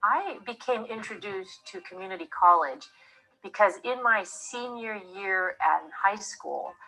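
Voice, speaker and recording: A woman telling her story in a lively way in a recorded testimonial. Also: on the low side, low-quality recording.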